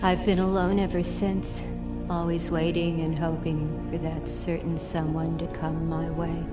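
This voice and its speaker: Actress playing unhinged lonely bride over loud music. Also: female voice, lowish voice, lo-fi sound, natural voice. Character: lonely, vain, unhinged young bride obsessed with love. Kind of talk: dramatic first-person game cutscene monologue.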